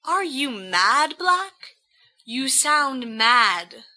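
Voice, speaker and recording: A jovial teenage schoolboy, played by a woman, sure of himself and ready for a challenge. The voice is very bright and forward, fairly high, and takes its time. It suits an audio storybook. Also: sing-song, very slow, heavily acted. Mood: reproving. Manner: hearty, posh schoolboy slang. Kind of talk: amateur audio fan fiction reading.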